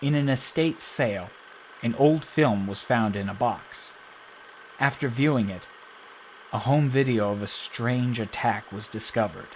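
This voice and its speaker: A male narrator reading a scripted account in an even, documentary manner. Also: mid-range voice, measured pace, a little hiss or hum.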